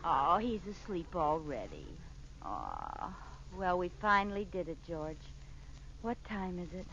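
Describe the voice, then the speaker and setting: An actress playing a scatterbrained, fretful housewife in an old radio sitcom. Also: female voice, fairly low pitch, wildly swooping pitch, a little slow, gravelly, fairly bright tone, heavily acted. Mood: fond, coaxing. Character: scatterbrained, fretful housewife minding a neighbor's baby. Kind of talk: 1940s-50s radio sitcom acting. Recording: noisy, muffled old radio recording.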